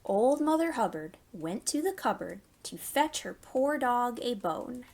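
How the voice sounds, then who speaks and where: A woman with a fairly high voice leads a storytime for young children. She takes her time, at a very slow pace. Her phrasing is simple and instructive, suited to little listeners. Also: very animated, vocal fry, a little mellow. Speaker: children's library storytime host.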